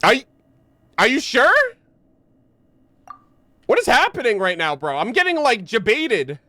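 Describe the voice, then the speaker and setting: A man's voice: extremely high pitch, huge pitch swings, a little slow, sharp tone, no echo. A young man streaming a game with casual, slangy commentary, joking and incredulous. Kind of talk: casual live gameplay commentary.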